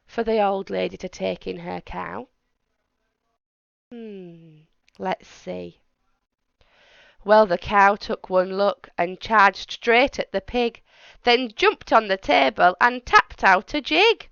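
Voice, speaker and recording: A woman reading a rhyming picture book aloud to children in an expressive, explanatory manner. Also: mid-range voice, gravelly, smooth, no room sound, muffled recording. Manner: explains words and asks young listeners questions. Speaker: children's story reader.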